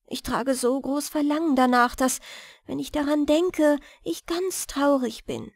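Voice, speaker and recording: A woman voicing a young witch's daughter in a fairy tale, soft, wistful and coaxing. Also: high voice, unhurried, vocal fry, no room sound, clean studio sound, pitched above the performer's own voice. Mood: wistful, longing.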